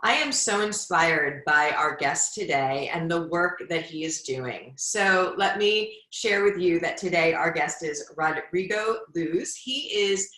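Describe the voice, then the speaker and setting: Bright-voiced middle-aged woman hosting, roomy echo. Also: fairly low pitch, animated, measured pace, hardly any pauses. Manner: effusive, encouraging. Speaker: podcast host, former hospice nurse. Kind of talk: warm podcast interview.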